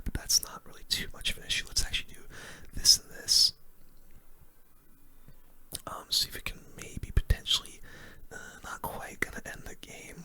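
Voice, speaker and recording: A gaming host whispering in a breathy, hushed voice close to the microphone, giving casual ASMR commentary while thinking aloud through play. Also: poor audio.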